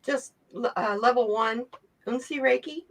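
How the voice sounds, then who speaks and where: A woman guest in a casual video interview, telling her story in an animated way and laughing often. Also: fairly high pitch, a little slow, a crowd in the background.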